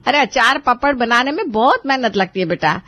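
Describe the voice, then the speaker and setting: A woman's voice: a little high, lively intonation, moderate pace, a bit bright, no room sound. A woman playing an indulgent middle-aged mother in a family drama, reluctant but giving in. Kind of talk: Hindi family drama acting for story video.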